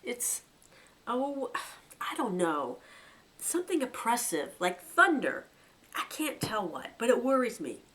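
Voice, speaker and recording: A woman's voice: a little high, very animated, slow, a bit bright, pitched above the performer's own voice, heavily acted. A woman voicing a timid young male rabbit who is anxious and whimpers with fear. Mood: uneasy. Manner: trembling, whimpering, breathless alarm.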